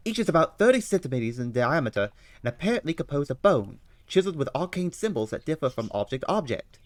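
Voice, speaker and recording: A man voicing an excitable Victorian gentleman detective with an attempted London accent. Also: fairly high pitch, quick pace, dry recording, pitched above the performer's own voice. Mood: keen. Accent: attempted London English.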